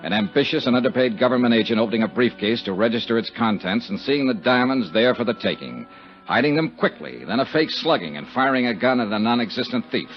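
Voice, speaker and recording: An actor playing a wry, hard-boiled American insurance investigator in a 1950s radio drama, clipped and sardonic. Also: male voice, medium pitch, lively intonation, fast talker, faint music behind, close to an everyday voice. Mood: cynical narration. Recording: muffled vintage radio broadcast.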